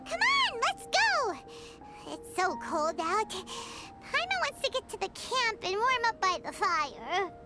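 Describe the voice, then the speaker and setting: A woman voicing a tiny, excitable fairy companion girl who talks about herself in the third person, eager and pushy, in a video game cutscene. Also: very high-pitched, slow, heavily acted. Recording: game cutscene over loud background music.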